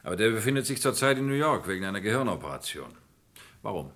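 A man's voice: fairly low pitch, very animated, fast, a bit bright. A man playing a loyal, flustered lawyer in a German crime radio play, hesitant yet emphatic. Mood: protesting.

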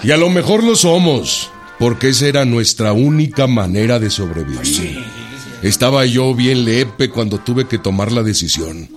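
A man's voice: medium pitch, expressive, normal speed, natural voice. Guy acting a swaggering northern mexican revolutionary boss, earthy slangy talk, radio play, music under it. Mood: wry, proud. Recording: studio radio drama with music behind.

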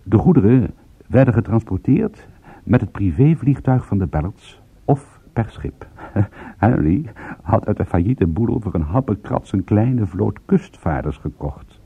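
An actor playing a private detective who narrates his case in a radio drama, in measured, dryly amused storytelling. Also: lowish voice, big pitch swings, gravelly, breathy edge, no room sound, heavily acted. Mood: dry, matter-of-fact. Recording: muffled, narrow-band old radio recording.

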